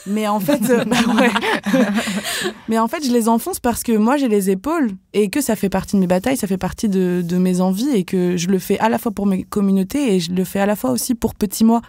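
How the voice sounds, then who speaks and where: A young woman from France, a professional dancer, speaking candidly as a podcast guest, casual and animated, with lots of fillers and slang. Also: medium pitch, a little fast, no room sound, studio quality. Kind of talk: podcast interview.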